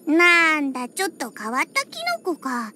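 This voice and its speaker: Feisty little cat-girl, very high childlike voice, bright and sharp, huffy tsundere, anime game character, music behind. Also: animated, normal speed. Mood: curious. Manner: huffy, tsundere, slipping into cat-like "nya" endings. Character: feisty, proud young cat-girl bartender with cat ears. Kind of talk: Japanese anime-style game character voice lines.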